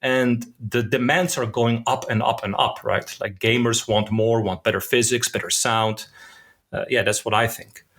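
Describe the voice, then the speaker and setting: Podcast guest, male, middle-pitched voice, reflective and opinionated. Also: steady tone, moderate pace, a bit bright. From Netherlands. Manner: thoughtful and opinionated, restarts words, says "man". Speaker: podcast guest, concept designer. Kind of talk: casual podcast conversation.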